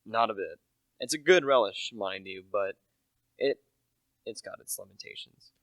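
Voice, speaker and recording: A man's voice: fairly low pitch, lively intonation, moderate pace, a bit bright, no echo, rough recording. A young man playing a humble, earnest salesman turned amateur sleuth in a radio play, plain-spoken and a little hesitant. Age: young adult.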